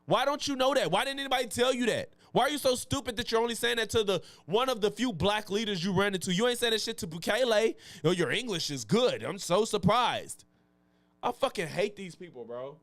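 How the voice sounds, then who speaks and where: A man's voice: high voice, expressive, fairly quick, a bit bright, no room sound. A man doing live reaction commentary in a heated, profane, slangy manner. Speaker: political commentary streamer.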